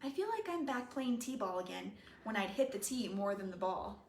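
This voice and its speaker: A woman reading the part of a spirited, caring teenage girlfriend, teasing and unhurried, in an echoey room. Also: mid-range voice, sing-song, darkish tone, slight background noise. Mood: discouraged. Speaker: reader.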